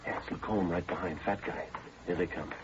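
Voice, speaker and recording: A man acting a terse, level-headed police detective in a 1950s radio drama, clipped, matter-of-fact and deadpan. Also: low-pitched, a little fast, lightly acted. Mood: low, tense. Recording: dull old radio recording, background noise.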